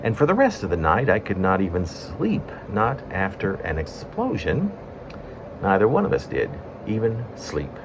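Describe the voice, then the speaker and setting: Low-voiced man reading poetry, lively intonation. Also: background noise. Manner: halting, broken into short phrases with pauses. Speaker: poet reading own poem. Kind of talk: spoken-word poetry reading, conversational storytelling.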